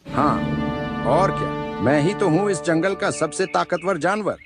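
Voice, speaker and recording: A man plays a proud cartoon lion who rules the jungle. The voice sits high and has a gravelly creak. He declaims his lines, and loud music plays behind him. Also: expressive, measured pace. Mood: boastful. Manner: boastful, declamatory. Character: arrogant, bullying lion king of the jungle. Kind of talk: cartoon moral story acting in Hindi.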